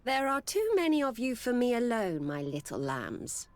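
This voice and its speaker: A woman's voice: fairly high pitch, big pitch swings, normal speed, darkish tone. A woman playing a wary, world-wise courtesan in a video game. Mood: teasing.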